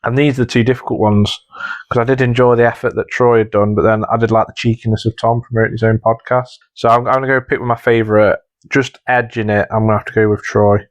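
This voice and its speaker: A man's voice: mid-range voice, little pitch movement, fast talker, no echo. A man judging entries on a podcast, talking casually in a hesitant, polite way. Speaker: podcast judge of audio tasks. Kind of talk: casual unscripted podcast chat.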